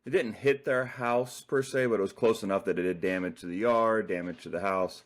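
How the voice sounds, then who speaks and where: A man's voice: fairly low pitch, fairly quick. A man talking as a guest author in a casual interview, rambling loosely with many fillers.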